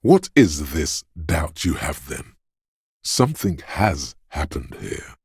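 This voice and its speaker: An actor voicing a video game character, a paranoid, aggressive inner voice of fight-or-flight instinct, speaking in an ominous, raspy, urging way. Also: male voice, very animated, darkish tone, no room sound, clean studio sound, heavily acted. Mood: tense, watchful. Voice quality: very deep, gravelly, raspy. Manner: slow, deliberate, ominous urging.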